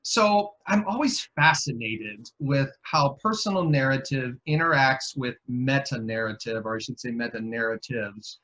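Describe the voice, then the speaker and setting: A man's voice: mid-range voice, a little slow, hardly any pauses, fairly bright tone. A man moderating a webinar panel, reflective, thinking aloud as he sets up questions. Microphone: video call. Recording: dull lo-fi video call audio.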